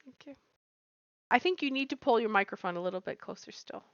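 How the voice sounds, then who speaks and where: A woman's voice: a little high, lively intonation, fast talker, no room sound, muffled recording. An American woman co-hosting a livestream with casual, playfully dramatic banter. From US West Coast. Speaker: livestream co-host.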